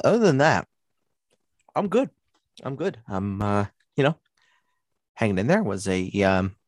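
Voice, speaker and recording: A man's voice: medium pitch, no echo. A man co-hosting a podcast, congested and dealing with laryngitis, rambling with many fillers, sitting close to his mic. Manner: rambling, lots of fillers and restarts. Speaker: podcast co-host. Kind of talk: casual podcast chat.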